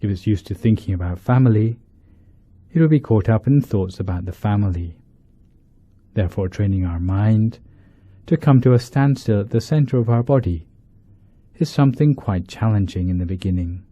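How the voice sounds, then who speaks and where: A man, a monk leading a guided meditation, speaking in deliberate short phrases with pauses between them. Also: on the low side, lively intonation, dark tone. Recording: dull lo-fi, roomy echo.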